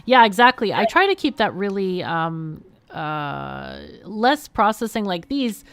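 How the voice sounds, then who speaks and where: A woman giving casual live game commentary, chatty and expressive, often muttering to herself as she thinks aloud. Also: medium pitch, unhurried, vocal fry, smooth, no room sound. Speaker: game streamer, host.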